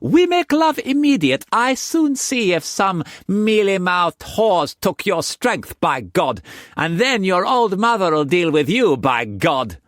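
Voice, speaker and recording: A bright, high, giddy young mistress who chatters and teases in broken pidgin English, her voice leaping all over. The read is dry and close. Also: very animated, slow, dry recording, exaggerated voice. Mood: teasing. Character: playful pregnant young Chinese mistress.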